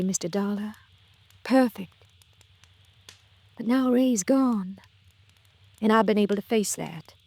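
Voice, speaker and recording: A woman's voice: fairly high pitch, a little mellow, no echo. A woman playing a gracious, composed lady in love in a 1950s radio drama, polite and earnest.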